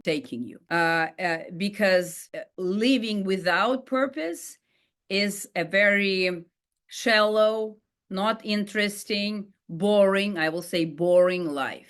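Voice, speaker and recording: A woman's voice: medium pitch, fairly bright tone. A middle-aged woman with a Russian accent, a life coach telling her own story in an earnest, heartfelt way, with frequent fillers and restarts. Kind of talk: personal storytelling monologue.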